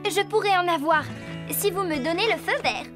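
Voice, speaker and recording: A helpful, take-charge girl speaks very high and insistently in a French animated dub. Music plays clearly behind her. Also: normal speed. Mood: determined. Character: bossy, helpful girl friend at horse ranch. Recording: music bed under the dialogue.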